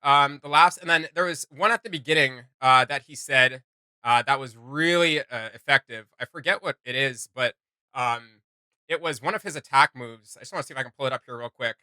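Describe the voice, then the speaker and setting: A man's voice: mid-range voice, brisk, sharp tone, clean tone, dry recording. A man hosting a reaction video, giving casual commentary with emphatic delivery.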